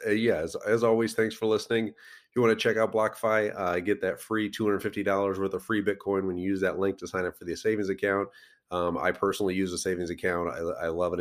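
A man hosting a crypto podcast, rambling casually with many fillers while sick and losing his voice. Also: low-pitched. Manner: rambling, lots of fillers and restarts.

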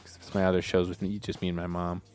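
A young man with a fairly low voice banters through crude comedy bits on a casual podcast. He laughs often and keeps slipping into silly voices. Faint music plays underneath. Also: flat delivery, fast, darkish tone. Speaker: podcast co-host.

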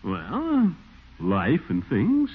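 An American actor playing a pompous, excitable middle-aged small-town bachelor in an old-time radio comedy, with a blustery, theatrical delivery and nervous chuckles. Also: male voice, medium pitch, extremely slow, breathy edge, exaggerated voice. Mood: proudly boastful. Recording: thin, narrow-band vintage radio recording with noise.

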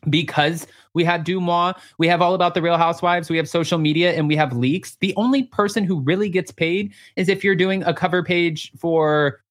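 A man's voice: fairly high pitch, a little fast, hardly any pauses. A man co-hosting a gossip show, chatting casually with a sassy, dramatic, emphatic delivery. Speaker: co-host of a celebrity gossip show. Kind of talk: casual reality-TV gossip chat, reading out quotes.

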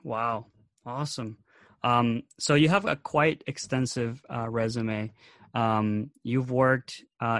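A man's voice: mid-range voice, fairly bright tone, no room sound. Upbeat male podcast host, dry sound. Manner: upbeat, hesitant questions with fillers. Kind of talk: casual interview.

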